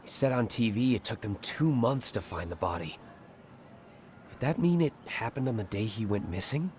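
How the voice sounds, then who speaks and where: An actor playing an earnest male high school student with a casual, sincere way of talking in a video game. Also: expressive, normal speed, darkish tone, slight background noise, close to an everyday voice. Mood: curious, concerned. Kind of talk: video game cutscene acting.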